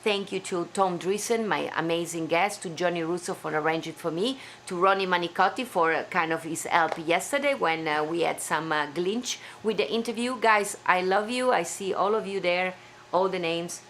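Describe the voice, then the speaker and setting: A woman's voice: lowish voice, moderate pace, fairly bright tone, a little hiss or hum. A woman from Italy with an Italian accent, hosting a live stream from home in an effusive, chatty way. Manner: effusive, chatty, with small slips in English. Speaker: live-stream show host. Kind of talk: casual live-stream sign-off.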